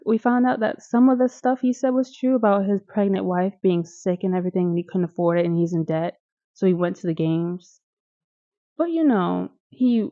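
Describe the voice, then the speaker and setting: A young woman with a Midwestern American accent talks through a show in a loose, chatty way, full of asides to the viewer. Her voice is mellow and soft-edged. The sound is dry and slightly dull. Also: medium pitch, no echo. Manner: chatty and animated, with laughs and quick asides to viewers. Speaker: video reviewer. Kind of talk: casual chat recapping a TV series.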